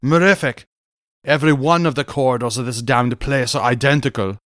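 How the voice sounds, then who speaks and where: A man acts out a brash barbarian warrior from a fantasy audiobook. He declaims in archaic phrases, loud and blunt. The recording is close and dry. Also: mid-range voice, a little fast, no echo, natural voice.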